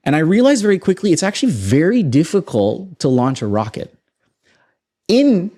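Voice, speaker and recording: A young man from Toronto with a North American accent, a guest on a casual podcast, telling his story in an animated, self-assured, emphatic way. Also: on the high side, moderate pace. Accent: North American English. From Toronto, Canada. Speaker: guest, space company founder.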